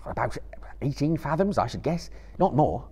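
An earnest young hobbit, read aloud by a man who pushes his voice higher and brighter than the narration. He sounds resolute and urgent, every phrase pressing forward. Audiobook storytelling with a lively, swinging delivery. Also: normal speed, slightly rough. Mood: earnest, determined. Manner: urgent, raised and pleading. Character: anxious young male hobbit leader, earnest and determined.

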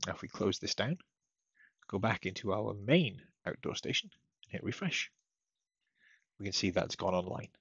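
A man with a low, husky voice talks through software steps casually, as a technical support presenter. The sound is dull and slightly lo-fi, recorded at a computer. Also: expressive, moderate pace, long pauses, dry recording. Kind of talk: casual step-by-step software tutorial narration. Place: indoors at a computer, screen-recording voice-over. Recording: dull lo-fi close dry microphone.

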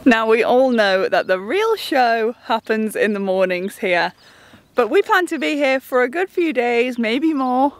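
Lively, animated young woman vlogging outdoors. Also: on the high side, measured pace. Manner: enthusiastic, gushing. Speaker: van life vlogger. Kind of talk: casual travel vlog. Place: outdoors in open countryside.

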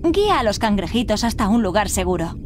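A woman's voice: mid-range voice, big pitch swings, a little fast, slight background noise. A woman reading scripted instructions as the tutorial narrator of a video game.

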